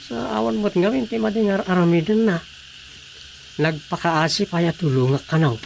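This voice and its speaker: A man's voice: sits high, moderate pace, gravelly, darkish tone, slight background noise, poor audio. A man acting a concerned, helpful village councilman in a conversational radio drama. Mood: explaining, concerned.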